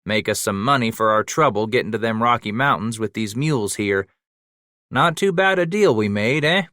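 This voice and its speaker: A man's voice: on the low side, lively intonation, moderate pace, sharp tone, dry recording, clean studio sound. A man voicing a crude, coarse frontiersman who talks in swaggering, drawling slang.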